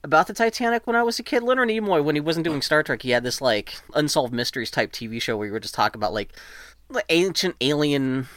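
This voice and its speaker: A man's voice: on the high side, fast, dry recording. A man in his forties, a guest on a podcast, chatting in a rambling way that keeps breaking off and restarting. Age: 40s. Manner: rambling, lively and self-interrupting. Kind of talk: casual interview chat.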